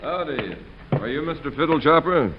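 Man playing an animated, earnest American inspector. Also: a little high, moderate pace, lightly acted. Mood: earnest, insistent. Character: earnest, exasperated male fire prevention inspector. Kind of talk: 1940s radio comedy straight man. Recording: noisy, muffled 1940s radio recording.

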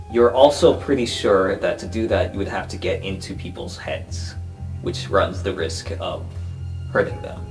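Male game master: low voice, improvised narration, faint music underneath. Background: background music.

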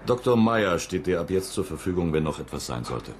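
A man's voice: low voice, big pitch swings, a little fast, slight background noise. A man playing a stern, controlling mission control chief in a German radio play, clipped and official. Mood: stern, threatening.

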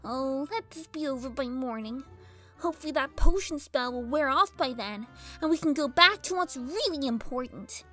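A very high-pitched little girl pony villain for an audio drama. She is bratty and indignant, whining in exasperation with lively swings of pitch, over clear background music. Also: moderate pace. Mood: sullen. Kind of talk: fan fiction audio drama acting.

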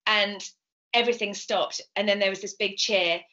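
A middle-aged British woman explaining things in an interview over a video call, speaking in an animated, emphatic, passionate way. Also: medium pitch, moderate pace. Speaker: composer and singer being interviewed. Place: indoors, home room. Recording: slightly dull video call audio.

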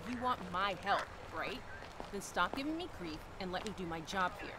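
Woman playing a brisk, animated animal expert. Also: mid-range voice, heavy background noise, natural voice. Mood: defensive. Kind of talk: audio drama acting.

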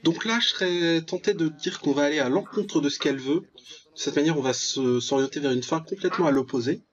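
A man's voice: a little high, a little fast. A man giving casual, joking gameplay commentary as the host of a gaming video. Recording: dull, lo-fi mic, music behind. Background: game music.

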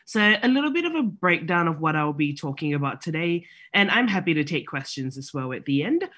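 A woman's voice: deep voice, very animated, a little fast, a bit bright. A woman in her thirties from Singapore, with an accent shaped by later years in Australia, giving a friendly, explanatory slide talk as a guest specialist over a video call, with frequent ums. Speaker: oral medicine specialist guest speaker. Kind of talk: online presentation with slides.

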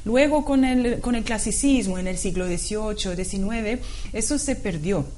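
A young woman guest speaks into a lapel mic on a TV studio set, picking her words with care. Her pitch sits mid-range and she fills gaps with soft hesitations. A faint hiss lies under the sound. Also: moderate pace. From Portugal, raised in Belgium. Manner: thoughtful, hesitant, searches for words with many fillers. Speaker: classical guitarist guest. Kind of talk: studio TV interview.

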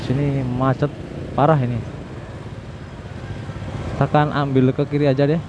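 A man giving casual, unhurried riding commentary as a motovlogger, with little asides to himself and his viewers. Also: medium pitch, little pitch movement. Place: outdoors, riding a motorbike through city streets. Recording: dull lo-fi audio with clear traffic noise. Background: vehicle engines and street traffic noise.

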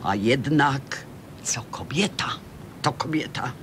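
A woman's voice: animated, slow pace, fairly bright tone, exaggerated voice. An actress playing an opinionated, haughty woman in a radio comedy serial, lecturing in an emphatic, didactic way. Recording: faint noise, low rumble.

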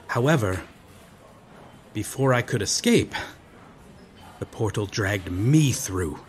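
Man playing a solemn, slow-spoken medieval knight. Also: lowish voice, slight background noise. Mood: solemn, resolute. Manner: formal, archaic, solemn speech. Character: noble, earnest medieval knight lost in modern times. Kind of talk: ASMR audio roleplay, dramatic monologue.

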